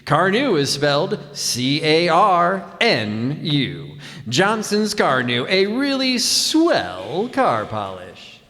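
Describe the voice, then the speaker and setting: Guy doing a peppy 40s radio ad announcer, high voice, salesy, big echoey stage hall. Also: very animated, slow, bright, forceful tone, full character voice. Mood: enthusiastic pitch. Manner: emphatic, salesmanlike. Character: upbeat male 1940s radio announcer selling car polish. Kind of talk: 1940s radio commercial announcing.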